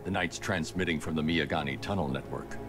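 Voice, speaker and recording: A brooding masked crimefighter from a video game, pitched extremely low. He speaks in terse, controlled, analytical phrases, with clear music playing behind him. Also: fairly quick. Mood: determined. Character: grim masked vigilante detective, brooding and controlled man. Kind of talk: dramatic video game acting.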